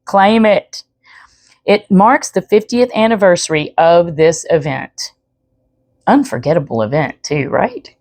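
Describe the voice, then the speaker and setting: A woman's voice: fairly low pitch, animated, a little slow, darkish tone, no room sound. A woman storyteller reading aloud expressively, with chatty asides to the listener. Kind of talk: expressive read-aloud narration.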